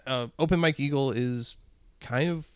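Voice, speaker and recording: A man's voice: mid-range voice, moderate pace, smooth, dry recording, clean studio sound. A man co-hosting a podcast, chatting casually in loose slang with plenty of swearing. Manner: profane, slangy. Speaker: podcast co-host. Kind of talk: casual chat about music.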